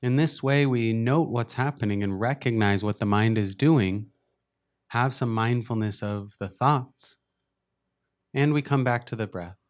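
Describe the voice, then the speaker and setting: A man leading a guided meditation as a teacher, speaking gently and unhurriedly in short phrases with long pauses. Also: mid-range voice.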